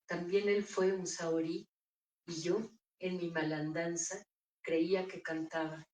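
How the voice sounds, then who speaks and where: Slow, mellow woman reciting poetry. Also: lowish voice, level tone, recorded from a distance. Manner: measured, deliberate recital with pauses. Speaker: poet reading her own work. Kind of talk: poetry reading. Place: bookstore reading room. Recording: echoey room, voice off-mic.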